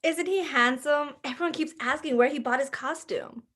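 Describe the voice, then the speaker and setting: Female, high voice; actress as a smitten, gushing, coy party guest in a gothic family comedy. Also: moderate pace, fairly bright tone. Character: radiant, lovestruck, gushing lady party guest. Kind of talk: amateur table read of a film comedy.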